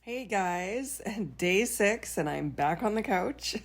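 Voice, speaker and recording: A woman's voice: medium pitch, expressive, slow pace. A young woman vlogging casually about recovering from an illness, with lots of fillers and likes. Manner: chatty, many fillers and likes. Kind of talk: casual video diary.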